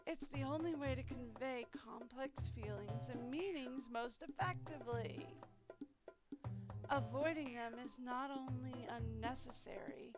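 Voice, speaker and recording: A woman's voice: high voice, a little slow. A woman voicing a bookish teenage girl poet from a visual novel, formal, restrained and condescending. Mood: quietly insistent. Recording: loud music behind the voice.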